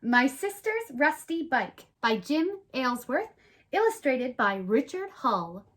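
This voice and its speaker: Animated high-pitched woman reading to children. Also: measured pace. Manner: animated, explaining things simply for children. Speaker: children's show host reading a picture book aloud. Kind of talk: expressive read-aloud storytelling with playful chat.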